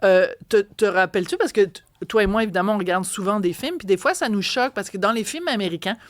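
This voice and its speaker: A woman with a Québécois French accent co-hosting a talk segment, telling a story in a chatty, animated way with many restarts. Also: mid-range voice, fast talker, no room sound. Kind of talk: casual chat on a radio and TV show.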